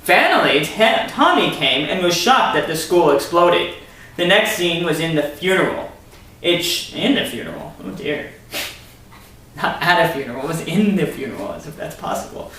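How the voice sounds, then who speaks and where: A man's voice: on the high side, very animated, normal speed, sharp tone. A man reading a story aloud theatrically, breaking in with sarcastic asides as commentary. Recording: very echoey room, distant mic.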